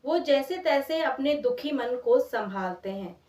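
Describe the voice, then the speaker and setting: A woman's voice: high voice, lively intonation, a little slow, darkish tone. A woman telling a moral story expressively in everyday, conversational words, repeating phrases for emphasis. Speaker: storyteller, channel host. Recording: roomy echo, distant from mic.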